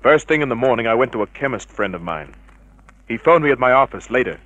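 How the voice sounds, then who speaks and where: An actor playing a cynical, unflappable private detective, terse and dry, in vintage radio drama style. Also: male voice, medium pitch, animated, quick pace, fairly bright tone, muffled recording, lightly acted. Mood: hard-boiled narrating. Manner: terse, hard-boiled, dry. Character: cynical, unflappable male private detective narrating his case.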